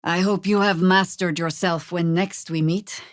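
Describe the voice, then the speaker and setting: A woman voicing a battle-weary, middle-aged druid in a fantasy video game, dry and wry, speaking in measured, formal phrases. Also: on the low side, fairly even intonation, no echo, natural voice. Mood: resolute. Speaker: video game companion character.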